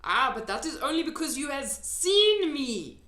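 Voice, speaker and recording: Woman acting a friendly male giant, storybook character, protective, emphatic, very animated pitch, roomy echo. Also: heavily acted. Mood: excited, alarmed. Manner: muddled grammar, emphatic drawn-out delivery. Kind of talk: children's storybook character reading. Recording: echoey room.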